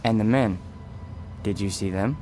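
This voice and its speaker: Radio play role: Death as a gentle, persuasive man played by an actor, lowish voice, measured and insistent. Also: soft background music, lightly acted. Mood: calm, frank. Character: calm, gentle, persuasive Death in a man's form. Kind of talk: dramatic radio play acting.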